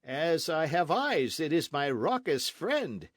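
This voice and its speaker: A man voicing a mild, weary young gentleman who talks in drawn-out phrases. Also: a little high, very animated, vocal fry, no room sound, studio quality, voice pushed up, exaggerated voice. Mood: languid.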